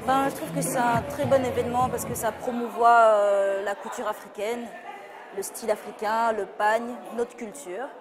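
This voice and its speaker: A woman's voice: on the high side, fairly even intonation, normal speed. Earnest woman amid crowd and music. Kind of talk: interview.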